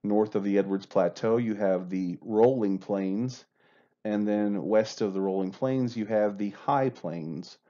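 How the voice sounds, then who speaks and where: Low, dark-voiced Texan man lecturing. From Texas, USA. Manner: measured, explanatory, with filler uhs. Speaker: history lecturer. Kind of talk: recorded slideshow lecture. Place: voice-over over slides, room unseen. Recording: dull lo-fi voice-over.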